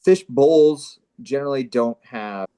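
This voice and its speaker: A man's voice: mid-range voice, a little slow, dark tone, clean tone. A man hosting a comedy table read, narrating stage directions and chatting casually. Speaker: comedy show host and script narrator.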